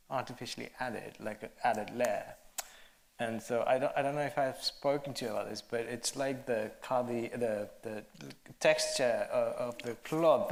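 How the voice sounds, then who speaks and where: A man's voice: mid-range voice, creaky, slight background noise. A man, a cinematographer, informally explaining technical matters on a panel, recorded with roomy, echoing sound.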